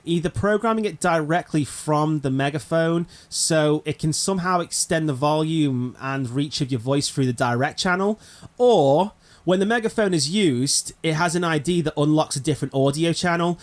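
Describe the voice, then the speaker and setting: A man's voice: a little high, normal speed. A man narrating an informal gaming explainer, talking directly to viewers in a conversational way. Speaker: video presenter of a gaming concept. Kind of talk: informal explainer narration of a game item idea.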